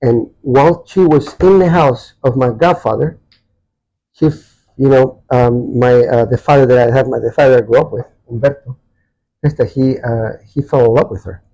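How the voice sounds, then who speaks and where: A man in his sixties from Chile, a Spanish speaker, telling personal stories haltingly as he searches for words. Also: mid-range voice, mellow, low-quality recording. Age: 60s. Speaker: podcast guest. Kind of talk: personal storytelling in a casual interview.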